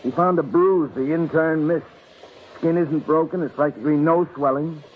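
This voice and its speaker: Casting: man to play firm, pragmatic police boss, slightly high voice, slangy, old crime radio show, muffled sound. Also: steady tone, normal speed, slight background noise, close to an everyday voice. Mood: skeptical. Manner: matter-of-fact, slangy. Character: blunt, pragmatic police superior, friendly but firm. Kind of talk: mid-century radio crime drama acting.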